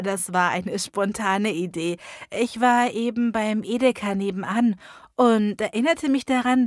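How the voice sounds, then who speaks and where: A woman acting a friendly, helpful character in a scripted language-learning dialogue, enunciating clearly. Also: medium pitch, no echo, clean studio sound, natural voice.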